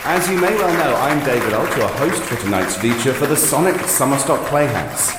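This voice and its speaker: A man's voice: medium pitch, animated, moderate pace. A man hosting, giving a formal, courteous scripted stage introduction like a master of ceremonies. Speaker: host. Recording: very echoey hall, noise, faint crowd.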